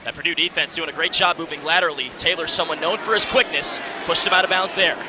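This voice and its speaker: A man's voice: on the high side, quick pace. A man in his early twenties calling college football live on radio in short, vivid, present-tense phrases. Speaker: play-by-play announcer. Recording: stadium crowd and loud background noise.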